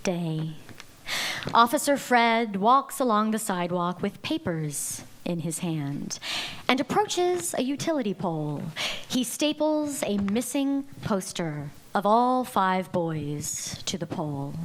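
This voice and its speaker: A woman's voice: medium pitch, very animated, takes it slowly, rough recording. A woman reading stage directions as narrator in a dramatic live table read of a script.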